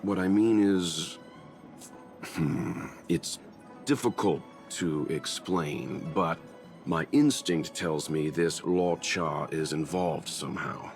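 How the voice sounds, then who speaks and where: Game role: deep-voiced, slow, courteous middle-aged investigator. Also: male voice, darkish tone, faint music behind. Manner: measured, courteous, hesitant. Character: reserved, polite older male investigator. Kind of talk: video game voice acting.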